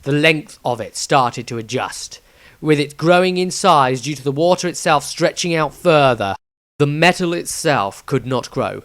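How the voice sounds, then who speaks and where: A man's voice: medium pitch, fairly bright tone, no room sound. Dramatic male fantasy narrator, dry sound. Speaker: author reading his own story, narrator.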